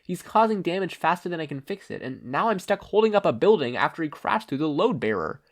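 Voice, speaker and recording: A man's voice: fairly high pitch, big pitch swings, a little fast, voice pushed up. A man voicing an earnest, anxious teenage boy hero with a fretful, urgent manner in a story reading. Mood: strained, anxious.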